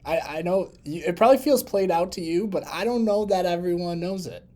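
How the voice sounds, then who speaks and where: A man co-hosting a casual business podcast, chiming in with quick affirmations and a lively follow-up question. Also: high voice. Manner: affirming, backchannels a lot. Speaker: chief marketing officer and co-host. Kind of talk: casual conversational podcast chat.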